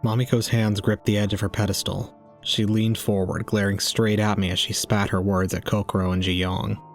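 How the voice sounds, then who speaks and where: A man playing a brooding, detached young man narrating his inner thoughts gravely in an audio drama. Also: on the low side, level tone, normal speed, faint music behind. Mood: cold brooding.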